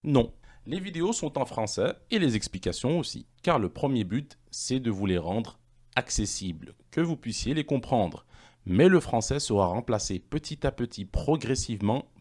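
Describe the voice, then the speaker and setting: A man presenting an online language course, reading questions and answers in a measured, explanatory way. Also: mid-range voice, animated, a little mellow.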